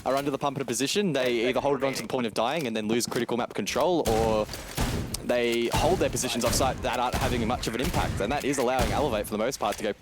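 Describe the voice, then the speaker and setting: A man's voice: mid-range voice, fast talker. A man giving live commentary and analysis on a shooter match in an analytical, emphatic way. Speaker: esports commentator. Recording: clear noise with game gunfire behind. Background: game gunfire and clicks.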